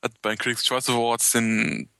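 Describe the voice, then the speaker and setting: A man co-hosting a casual podcast, with a bright voice at an ordinary pitch. The recording is dry and close. He talks in an easygoing, halting way and laughs often. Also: little pitch movement, clear, resonant tone, dry recording. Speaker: podcast co-host. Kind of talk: casual chat.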